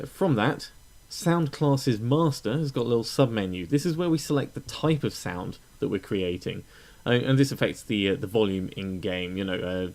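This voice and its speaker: A man with a fairly low voice presents a software tutorial as a voice-over. He explains things step by step in a methodical, instructional manner while keeping the wording informal. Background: voice-over for a screen recording.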